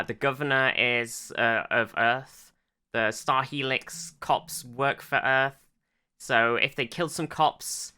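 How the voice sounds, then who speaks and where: Clear, bright-voiced podcast co-host. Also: medium pitch. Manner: halting, circling explanations with frequent swearing. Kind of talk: casual podcast discussion.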